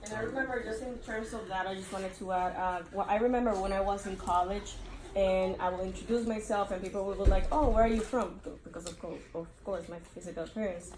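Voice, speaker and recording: A woman's voice: mid-range voice, steady tone, quick pace. A woman from the Dominican Republic reflecting earnestly in a casual book club discussion. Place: bookshop event room. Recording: echoey room, some background noise.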